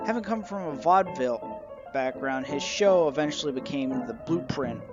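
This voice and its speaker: A young man giving a measured, informative show-and-tell narration as a collector reviewing his collection. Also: on the high side, animated, highs cut off, over music.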